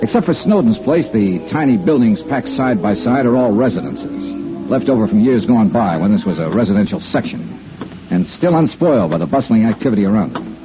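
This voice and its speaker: An actor playing a wry, dogged insurance investigator in clipped, casual 1950s radio noir style. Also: male voice, on the low side, lively intonation, fairly quick, close to an everyday voice. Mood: wry narrating. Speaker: lead actor and narrator of a radio detective series. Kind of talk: 1950s radio noir acting and first-person narration. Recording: muffled vintage radio recording with music.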